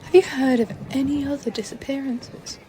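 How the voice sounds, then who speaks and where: An actress playing a scientist's matter-of-fact assistant in an audio drama, speaking plainly and to the point. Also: female voice, high voice, fairly even intonation, moderate pace, mellow, a little hiss or hum, natural voice, low-quality recording.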